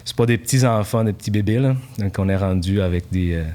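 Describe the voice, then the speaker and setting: A man in his forties from Portugal talks easily as a podcast guest, stopping often on fillers and restarting his sentences. His voice sits fairly low for a man. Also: fast talker. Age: 40s. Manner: relaxed, many fillers and restarts. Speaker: guest, company founder. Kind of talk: casual podcast interview.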